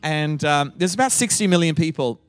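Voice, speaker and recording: A man's voice: a little high, expressive, moderate pace, smooth, no echo, faint music behind. A middle-aged man with an Australian accent, a guest pastor opening his sermon with playful teasing and frequent laughter.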